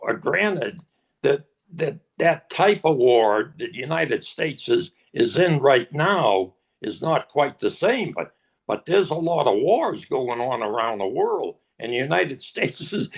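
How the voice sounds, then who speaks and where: An older man speaking as a conference panelist, answering a question slowly with many pauses and fillers. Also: medium pitch, very animated, gravelly. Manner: slow, halting, with many fillers.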